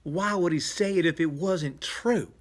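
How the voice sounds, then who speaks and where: A man acting out a gullible, easily spooked male hero in a tabletop game story, excitable and slangy, over music. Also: fairly high pitch, measured pace, voice pushed up. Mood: hurt, accusing.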